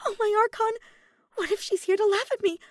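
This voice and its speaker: A woman's voice: extremely high pitch, fairly quick, no room sound, studio quality, pitched above the performer's own voice. A woman voicing a panicky, lovestruck young actress in a story, with stammering and dramatic outbursts. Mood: panicked.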